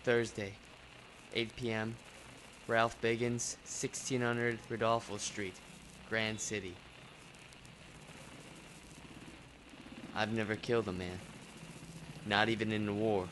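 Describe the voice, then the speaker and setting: An actor playing a shady war veteran turned reluctant killer, slangy and evasive, in old time radio style. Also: male voice, fairly low pitch, little pitch movement, measured pace, long pauses, background noise, natural voice. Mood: drunken, brooding.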